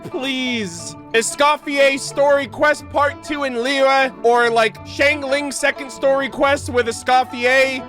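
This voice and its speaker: A woman streamer giving excited gameplay reactions in a gushing, slangy, exclaiming style. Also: high-pitched. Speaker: game streamer.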